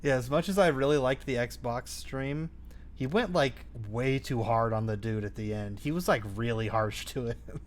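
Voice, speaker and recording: A man's voice: medium pitch, moderate pace. A young man in casual, informal conversation while programming on a game jam stream. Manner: informal, hedging with "like". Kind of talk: casual chat. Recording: faint background noise.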